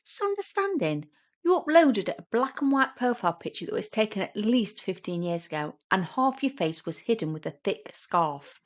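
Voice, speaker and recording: A woman voicing a sarcastic, teasing and immature younger sister in a comic audio story, bickering and excitable. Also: mid-range voice, normal speed, voice pushed up, close to an everyday voice. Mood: mocking.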